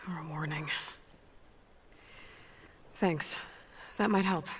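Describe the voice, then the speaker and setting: Low-voiced, practical young female adventurer. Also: takes it slowly, breathy edge, full character voice. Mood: inquisitive. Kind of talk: video game cutscene acting.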